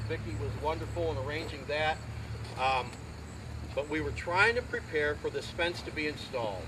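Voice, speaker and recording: Loud, animated man in his fifties. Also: on the high side. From Ohio. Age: 50s. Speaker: community volunteer. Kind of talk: speech at a ceremony. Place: outdoors at a garden. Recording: outdoor recording with traffic noise.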